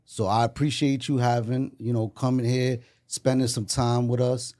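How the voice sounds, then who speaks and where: A man's voice: mid-range voice, flat delivery, warm tone. A man hosting a podcast, introducing his guest in a loose, rambling way. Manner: loose, rambling, fills pauses with "you know" and "um". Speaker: podcast host. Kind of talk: casual chat.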